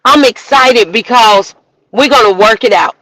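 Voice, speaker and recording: Woman, minister, motivational preaching, bright forceful tone, dry close sound. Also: fairly high pitch, measured pace, no room sound, rough recording. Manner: emphatic, preacherly, rising to shouts. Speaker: minister and host. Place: outdoors walking in the rain.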